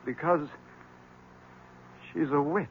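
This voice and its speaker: An actor playing an uneasy, earnest husband, hesitant and intense, in 1970s radio drama style. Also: male voice, fairly high pitch, steady tone, takes it slowly. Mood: grimly intense. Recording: muffled old radio broadcast, faint noise.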